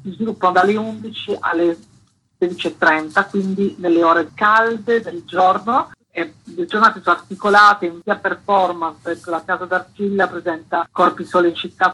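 A woman's voice: fairly low pitch, sharp tone, husky, poor audio. A woman explaining a programme in detail as a guest in an informal radio interview. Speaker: guest presenting an arts project.

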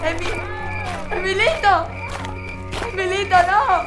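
Actress as a high-voiced, steadfast consoling companion. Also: female voice, steady tone, takes it slowly, poor audio. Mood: alarmed. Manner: urging, consoling. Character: steadfast, compassionate female companion on a wartime flight. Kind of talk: audio drama acting. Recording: loud music and crowd behind.

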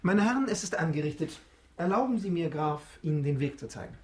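Guy playing a young nobleman in a radio play, voice on the high side, polite, eager, well-bred host type. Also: animated, fast talker, close to an everyday voice. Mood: gracious, attentive. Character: genteel young aristocratic host, eager and admiring. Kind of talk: radio drama acting.

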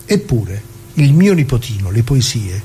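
An actor playing an elderly, plain-spoken working-class man from northern Italy in a conversational monologue, talking haltingly and colloquially straight to listeners. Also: mid-range voice, big pitch swings, heavy background noise. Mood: fond, reminiscing. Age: older.

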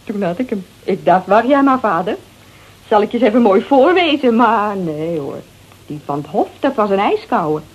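A woman's voice: medium pitch, moderate pace, husky, poor audio. A woman playing a brash, bored, flippant housewife in a Dutch radio drama, slangy and gossipy with a Netherlands accent. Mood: gossipy, scornful. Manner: slangy, gossipy, theatrically expressive.

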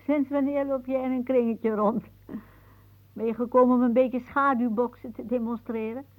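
An actress playing a weary, bitter older aunt in a radio drama, musing theatrically. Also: female voice, a little high, fairly even intonation, normal speed, natural voice. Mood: brooding, sardonic. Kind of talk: radio drama acting, monologue-like. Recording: muffled, narrow-band old radio recording.

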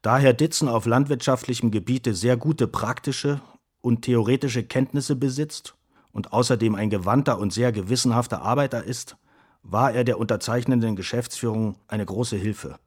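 A formal, stern doctor in a radio play, acted by a man. He sounds officious and matter-of-fact as he reads out reports. His voice sits at a medium pitch. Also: normal speed, slightly rough, close to an everyday voice. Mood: official. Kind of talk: scripted radio play, letter reading.

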